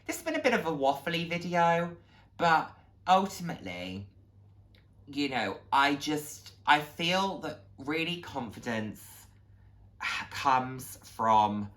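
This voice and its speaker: A man's voice: medium pitch, expressive, takes it slowly, a bit bright. Male vlogger, late 20s; heartfelt, encouraging, informal delivery with a reflective streak. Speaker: vlog host. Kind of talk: casual heartfelt talk to viewers.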